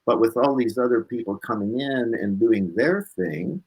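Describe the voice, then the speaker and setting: A man with a husky voice, a musician being interviewed, talking casually and reflectively and repeating points for emphasis. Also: mid-range voice, moderate pace, darkish tone, poor audio.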